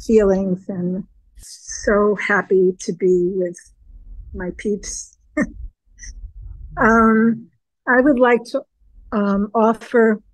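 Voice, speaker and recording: Hoarse older woman chanting softly. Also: mid-range voice, no room sound, rough recording. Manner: soft, heartfelt, chants a mantra repeatedly. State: hoarse, with a cough. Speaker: guest minister. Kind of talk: prayer and chanting at a vigil. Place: home room, indoors. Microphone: video call, phone held close.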